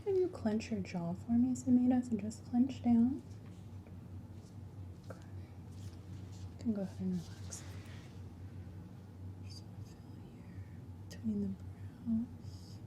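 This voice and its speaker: A woman giving a soft-spoken, often whispered ASMR medical exam, gently talking through each step in the role of a clinician. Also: fairly high pitch, slow pace, pauses often, background noise. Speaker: ASMR host playing a clinical examiner.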